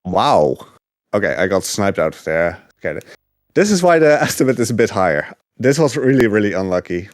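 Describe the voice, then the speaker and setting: Low-voiced man commentating a speedrun. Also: normal speed, dry recording. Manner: relaxed, chatty, many fillers. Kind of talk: casual gameplay commentary. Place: home living room couch.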